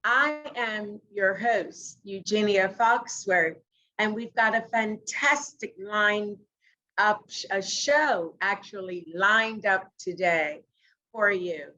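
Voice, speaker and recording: Slow, deliberate woman hosting a podcast. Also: medium pitch, bright, forceful tone, slight background noise, low-quality recording. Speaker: podcast host. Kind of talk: interview podcast. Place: home living room. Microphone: video call webcam.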